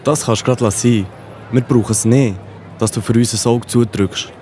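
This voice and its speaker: A man's voice: medium pitch, fairly even intonation, moderate pace, a little mellow, slight background noise, close to an everyday voice. An actor playing a brave, devout young man who is resolute and defiant. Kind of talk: children's Bible audio play.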